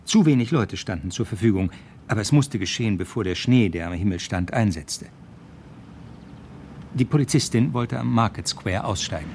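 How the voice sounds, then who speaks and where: An actor playing a police officer who narrates a radio crime drama in the first person, with measured, literary storytelling. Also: male voice, lowish voice, animated, a little mellow, a little hiss or hum. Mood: reflective narration. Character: male police officer narrating the case as his memoir.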